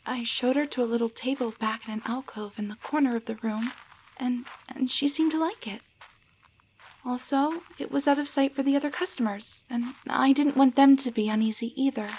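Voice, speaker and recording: A woman playing a timid young waitress shrunk into a doll, in a roleplay audio drama, speaking in a halting, stammering way. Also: on the high side, fairly even intonation, darkish tone, slight background noise, natural voice, poor audio. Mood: shaken, recounting.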